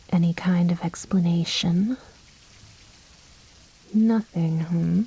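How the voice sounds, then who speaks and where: A woman playing a commanding, possessive vampire noblewoman in an intimate ASMR roleplay, speaking in breathy whispers with a haughty, coaxing, softly menacing manner. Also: fairly low pitch, a little hiss or hum, poor audio. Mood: stern, menacing.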